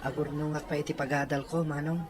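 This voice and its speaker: A man's voice: fairly high pitch, level tone, fast, music in the background, crowd noise, voice pushed up, close to an everyday voice. A man playing a meek, polite young working student in a serial drama. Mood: humble, earnest. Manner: deferential. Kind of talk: acted drama dialogue.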